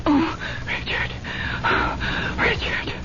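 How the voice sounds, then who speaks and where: An actress playing a poisoned wealthy wife in an old radio mystery, drugged, weak and tearful, speaking in halting, gasping phrases as she accuses. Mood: horrified, tearful. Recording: muffled, noisy vintage radio recording.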